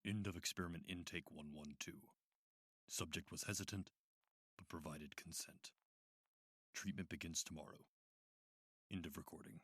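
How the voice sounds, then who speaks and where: An actor playing a courteous, clinical institute doctor in a radio drama, speaking in a measured, formal way. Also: male voice, low voice, steady tone, natural voice. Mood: clinical, detached. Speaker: doctor at a sleep therapy institute. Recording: loud noise with clicks.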